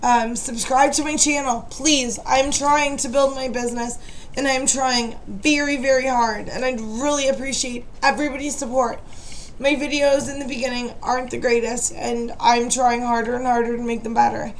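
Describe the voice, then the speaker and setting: A high-voiced young woman talks to her webcam from a dim bedroom at night, her voice worn as she loses it. She rambles chattily and keeps apologizing. A faint hiss sits under the recording. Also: fairly even intonation, normal speed, poor audio. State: losing her voice. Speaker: vlogger, makeup seller. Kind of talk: casual chat to camera.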